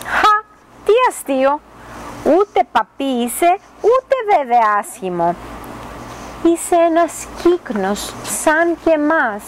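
A proud, amused swan from a fairy tale, acted out by a woman, speaks emphatically in a raised, high pitch. The recording is outdoors with some background noise. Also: sing-song, poor audio. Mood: amused, surprised. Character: proud, amused swan greeting an outcast young bird.

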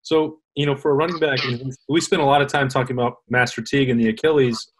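A man in his thirties with a middle-pitched voice hosts a sports talk show over a video call. He chats easily, tees up questions and introduces the tape. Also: steady tone, fast talker, breathy edge, poor audio. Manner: conversational, sets up questions and clips. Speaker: host, sports reporter. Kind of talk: casual sports talk interview.

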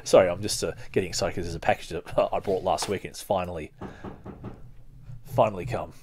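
A man hosting a podcast, reading aloud and commenting casually in a halting, rambling way. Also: sits low, fairly even intonation, background noise. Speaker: podcast host.

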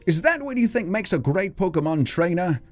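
A performer acts out a weary old man, a cartoon professor. He is gruff and scolding with a kindly streak, his pitch moving around a lot. Music sits behind the voice. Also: big pitch swings, a little fast, close to an everyday voice. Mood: lecturing.

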